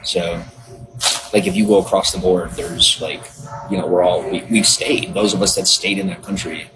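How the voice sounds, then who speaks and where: A man's voice: sits low, steady tone, normal speed, poor audio. A man chatting on a livestream, earnest and rambling, reading and answering viewers. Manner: rambling, earnest, many restarts. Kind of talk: casual livestream chat.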